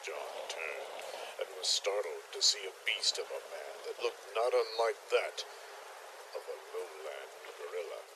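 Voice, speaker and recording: A man narrating a scripted mock-horror tale as its storyteller, with theatrical, ominous, deliberate phrasing. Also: low-pitched, lively intonation, background noise.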